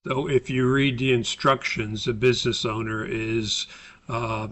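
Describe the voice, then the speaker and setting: Bright-voiced American man teaching a tutorial. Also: medium pitch, steady tone, measured pace. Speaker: course instructor recording a tutorial for his class. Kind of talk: informal screen-recorded software tutorial, explanatory.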